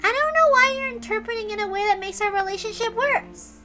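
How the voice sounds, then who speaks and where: A woman voicing a serene, earnest nine-year-old girl who lectures gently and stays unshakably optimistic, in a dramatic story reading. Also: extremely high pitch, soft background music, pitched above the performer's own voice. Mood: calm, reasoning. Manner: measured, reasoning, gently lecturing. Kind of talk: dramatic reading of a visual novel.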